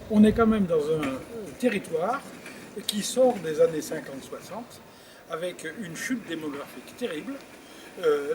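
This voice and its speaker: A man giving reflective interview testimony as a local official, halting and often repeating words as he searches for the next one. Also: a little high. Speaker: former president of an intercommunal council, interviewed. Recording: clearly noisy background ambience.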